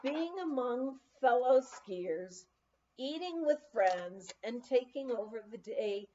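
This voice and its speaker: A woman reads a memoir aloud at a leisurely pace. Her voice sits fairly high and has a soft, mellow tone. She shapes each phrase with emphasis, and the recording is a little muffled. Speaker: audiobook reader.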